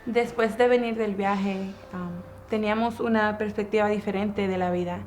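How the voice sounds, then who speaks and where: A young woman speaks at a medium pitch. She shares a personal testimony as a church volunteer, with music clearly playing behind her. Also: fairly even intonation, moderate pace, a little mellow. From United States, Dominican-born.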